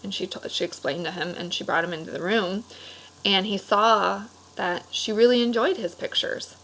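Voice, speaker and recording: A woman's voice: mid-range voice, fairly even intonation, normal speed, fairly bright tone, rough recording. A woman speaking as an elementary teacher, leading a discussion for children in simple, encouraging words with frequent questions and check-ins. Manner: simple explanatory phrasing aimed at children. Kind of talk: read-aloud discussion lesson for children.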